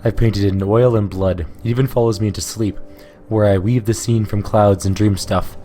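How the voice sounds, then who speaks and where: A tormented male sculptor lashes out in a low, hoarse voice that is scornful and worn down by despair, as a man reads him aloud in a game playthrough. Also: fairly even intonation, moderate pace, warm tone, faint music behind, natural voice. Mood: anguished. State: hoarse from illness.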